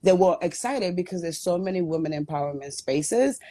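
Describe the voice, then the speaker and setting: Low-pitched woman from Guatemala, raised in the Bronx, guest owner, earnest storyteller. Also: animated, normal speed, warm tone. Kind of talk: telling her story in a live chat.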